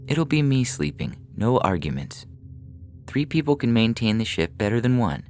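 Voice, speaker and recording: A man's voice: mid-range voice, sing-song, moderate pace, darkish tone, pitched above the performer's own voice, lightly acted. A man voicing a devoted mother in a science fiction story, tender and reassuring but firm, hiding her dread. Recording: music behind the voice.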